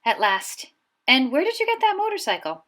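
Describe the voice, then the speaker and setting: A stern older witch professor, brisk and hushed, as a woman reads her in a storybook. She speaks quietly and keeps control. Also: sits high, wildly swooping pitch, pitched above the performer's own voice, exaggerated voice. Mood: relieved.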